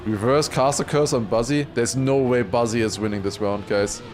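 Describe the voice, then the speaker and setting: A man livestreaming excited esports commentary mixed with casual chat, raising his voice to a shout at big moments. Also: mid-range voice, normal speed, soft background music. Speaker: esports watchparty streamer and commentator.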